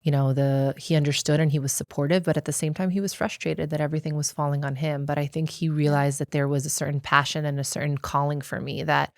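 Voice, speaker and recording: A woman's voice: sits low, level tone, fast talker, hardly any pauses, no room sound, clean studio sound. A middle-aged American woman speaking as an interview guest, reflecting on personal experience in an earnest, articulate, explanatory way. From United States.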